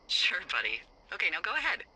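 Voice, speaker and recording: An actress playing a wry, bossy, foul-mouthed fire lookout supervisor in a video game, teasing and casual over a handheld radio. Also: female voice, on the low side, wildly swooping pitch, brisk, bright, forceful tone, husky, heavily acted, low-quality recording. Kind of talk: naturalistic video game dialogue.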